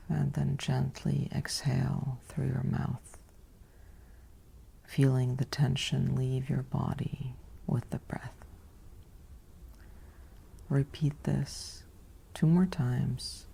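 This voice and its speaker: A woman narrates a guided sleep meditation in a soft, whispery ASMR style. Her voice is mellow and full of heavy vocal fry. She speaks in an even, soothing way, leaving long pauses between short phrases. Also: extremely low pitch.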